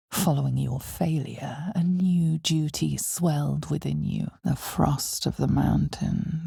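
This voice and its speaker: A woman playing the knowing, ominous narrator of a dark fantasy game, speaking with deliberate, theatrical, hushed intensity. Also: deep voice, gravelly, breathy edge, dry recording, clean studio sound, exaggerated voice. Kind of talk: dramatic scripted fantasy narration.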